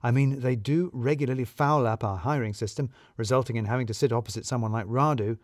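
A man's voice: medium pitch, fast talker, no room sound, clean studio sound. A man narrating a scripted first-person comic story in a wry, self-deprecating manner.

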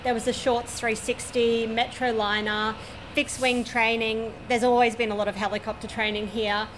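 Australian air traffic controller, fairly high voice. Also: female voice, little pitch movement, normal speed, fairly bright tone, poor audio. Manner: matter-of-fact, detailed, with frequent "um"s. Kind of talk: interview answers. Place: at a regional airport, overlooking the runway.

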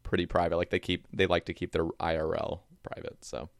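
A man's voice: low-pitched, flat delivery, brisk, gravelly, no room sound, clean studio sound. A man hosting a podcast, chatting casually as he interviews a guest. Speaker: podcast host.

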